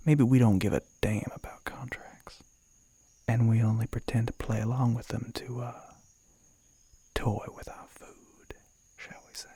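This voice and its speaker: A man playing a suave, flirty male demon in an ASMR roleplay, with a Southern American accent, a breathy, gravelly voice that often drops to a whisper, and a teasing, coaxing drawl. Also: lowish voice, a little mellow, no room sound. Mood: teasing, sly.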